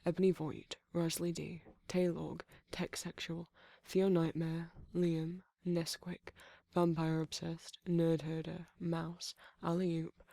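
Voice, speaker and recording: An audio roleplay creator reading a scripted channel outro and credits in a steady, list-like delivery. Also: medium pitch, no echo.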